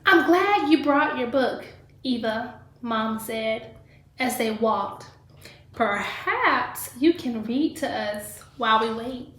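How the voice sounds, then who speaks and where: Lady teacher doing an animated read-aloud for kids, takes it really slow, highish voice, roomy echo. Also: a little hiss or hum. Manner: deliberate, animated storybook delivery, addressing children directly. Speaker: teacher reading aloud to pupils. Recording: echoey room.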